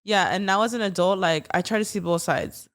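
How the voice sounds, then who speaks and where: A woman's voice: medium pitch, fairly quick. A young woman co-hosting a casual podcast, chatty and full of fillers, with Spanish as her first language. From United States, Mexican parents. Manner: chatty, swears, many fillers. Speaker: podcast co-host. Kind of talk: casual chat.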